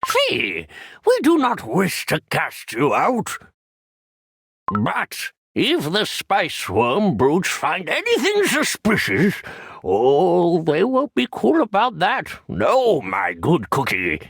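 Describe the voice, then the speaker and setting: A man playing a wary village elder in a game cartoon, gentle but firm, weary and cautioning in a husky voice. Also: sits high, wildly swooping pitch, slow pace, dry recording, clean studio sound, full character voice. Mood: worried warning.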